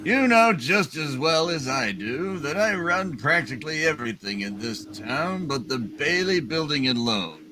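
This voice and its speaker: A man voicing a pompous, wheedling old small-town tycoon in a hammed-up radio play reading, deliberate in manner, with room noise around him. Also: on the high side, big pitch swings. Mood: scheming. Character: Mister Potter, scheming old male small-town tycoon.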